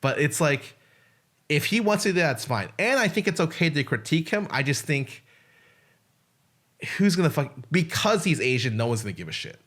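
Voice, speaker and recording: A man's voice: fairly high pitch, big pitch swings, moderate pace, fairly bright tone. A man co-hosting a podcast, chatting casually and frankly and swearing freely. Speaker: podcast co-host.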